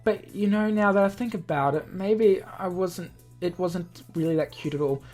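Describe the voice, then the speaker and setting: A man's voice: high voice, normal speed, darkish tone. Man narrating a visual novel, music behind. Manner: stumbles and rereads lines, explains asides. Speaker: let's player reading a visual novel aloud. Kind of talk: game narration with casual asides.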